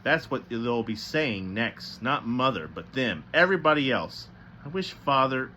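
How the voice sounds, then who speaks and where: A man voices a troubled teenage girl in a storybook, brooding and hard on herself. The delivery is emphatic and swings widely in pitch, with music behind it. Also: very animated, unhurried, sharp tone, slight background noise. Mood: grim, brooding.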